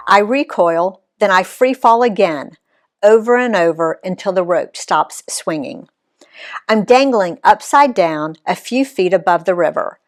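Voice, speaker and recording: Woman narrator reading her own book aloud; slow, lively storytelling, mid-range voice. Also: mid-range voice. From Alabama, USA. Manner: unhurried, lively reading that voices the quoted characters. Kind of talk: expressive read-aloud storytelling.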